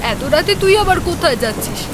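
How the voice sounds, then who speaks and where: Woman playing a very high-pitched fearful village mother. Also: big pitch swings, brisk, background noise. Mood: worried, firm. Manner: worried, forbidding, dramatic. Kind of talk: animated horror story dialogue.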